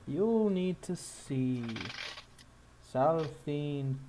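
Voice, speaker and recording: Fantasy town official, acted by a man: measured, pondering, slow delivery, slightly high male pitch. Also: expressive, dark tone, slight background noise, rough recording. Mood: helpful, measured. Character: unhurried, hesitant male town trade official.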